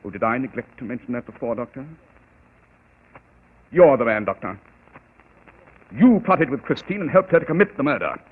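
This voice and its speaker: Arrogant master detective; incisive, husky, old broadcast. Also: male voice, mid-range voice, expressive. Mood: cool. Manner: measured, precise, dryly cutting. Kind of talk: 1940s radio acting. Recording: tinny old radio broadcast.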